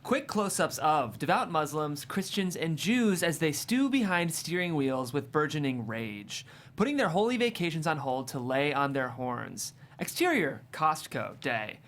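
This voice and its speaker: A man's voice: fairly high pitch, animated, measured pace, a bit bright. A man hosting a table read show, chatting and narrating script directions in a studio.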